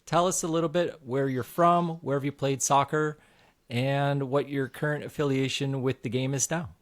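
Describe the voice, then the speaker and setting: A man hosting a soccer podcast, asking his guest measured, inviting questions. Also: a little high. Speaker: podcast host. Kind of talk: podcast interview.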